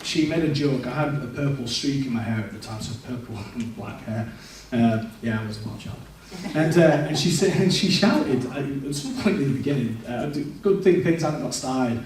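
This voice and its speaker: A man's voice: medium pitch, expressive, moderate pace, few pauses, a little mellow, slight background noise. A young man giving a candid, self-deprecating personal testimony in a church, with frequent restarts. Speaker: church speaker. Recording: echoey church hall, mic far off.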